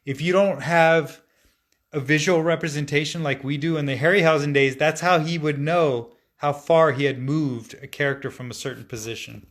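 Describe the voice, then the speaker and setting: A man's voice: fairly high pitch, moderate pace. Chatty animator man answering live questions. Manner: relaxed and chatty, with frequent fillers and restarts. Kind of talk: casual live-stream Q&A, reading viewer comments aloud.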